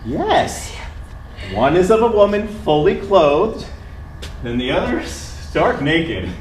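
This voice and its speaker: Wildly animated, earnest awkward suitor. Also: male voice, high-pitched, takes it slowly, exaggerated voice. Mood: blunt. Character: earnest, awkward, adoring physicist suitor, Zygmunt. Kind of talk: live stage play acting. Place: theatre stage. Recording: echoey theatre stage recording, room noise.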